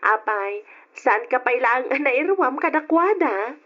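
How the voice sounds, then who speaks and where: Actress for teasing young female cousin, high-pitched, gossipy, Ilocano Filipino accent, radio drama, dull lo-fi sound. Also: lively intonation, slow pace, a bit bright, full character voice. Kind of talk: old-style Ilocano radio drama acting.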